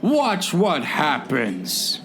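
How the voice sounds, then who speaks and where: A man as a very slow, high-voiced thug. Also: huge pitch swings, noisy background, exaggerated voice. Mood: menacing. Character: menacing, theatrical thug brother, comic henchman. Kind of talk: comic radio play acting.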